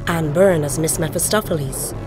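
Very low-voiced woman announcer over music. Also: moderate pace, poor audio. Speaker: credits announcer. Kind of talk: scripted announcement. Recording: clear music bed.

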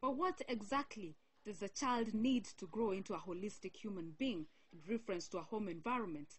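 A young woman reporter reading a scripted news feature in a measured, solemn way. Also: mid-range voice, animated, husky.

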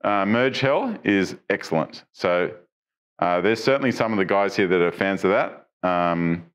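A man's voice: on the low side, smooth. A middle-aged man walks through developer tool news on a studio stage, speaking into a headset mic. He keeps it chatty and loose, tossing questions to his viewers and stumbling over restarts and ums as he goes. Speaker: tech news presenter. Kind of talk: casual tech walkthrough. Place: studio stage with dark backdrop.

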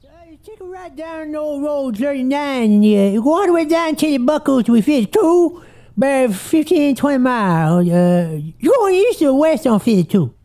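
A woman's voice: high-pitched, sing-song, normal speed, dark tone. A creepy backwoods old gas man. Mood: menacing. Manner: drawling backwoods talk with "reckon" and "boy". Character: creepy rural old male gas station attendant. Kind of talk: radio horror drama.

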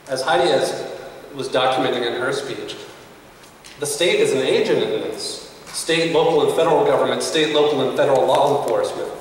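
A man in his thirties gives an earnest, measured speech from a podium, his voice climbing when he stresses a point. He is picked up by a camera well across the room, so the voice sounds distant. A long, booming reverb from the hall hangs after every phrase, with faint room noise underneath. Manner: earnest, measured speech that rises in pitch for emphasis. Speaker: conference speaker. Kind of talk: prepared conference speech. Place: hotel conference room at a podium. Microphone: podium mic, recorded by a camera across the room. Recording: echoey hall picked up from far off.

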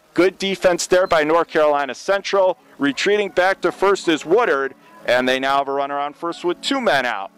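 A man doing radio play-by-play for a college baseball game, steady and measured, rising sharply when a play breaks open. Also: medium pitch, smooth.

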